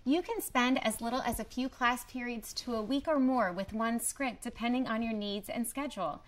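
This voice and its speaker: A woman presenting a promotional training video in a scripted, clearly structured explanatory way. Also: fairly high pitch, moderate pace, soft background music. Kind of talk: scripted instructional narration.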